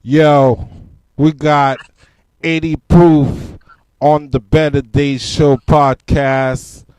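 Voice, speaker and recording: A man hosting a podcast interview in an unhurried, laid-back way. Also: a little high, little pitch movement, darkish tone, smooth, no echo, rough recording. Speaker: podcast host. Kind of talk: casual interview.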